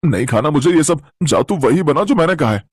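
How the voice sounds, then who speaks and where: Cartoon spoiled city boy, whiny braggart adult male performer; husky, swinging pitch, dry sound. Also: expressive, a little fast, no echo. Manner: bratty, complaining. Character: greedy, whiny, boastful chubby city boy.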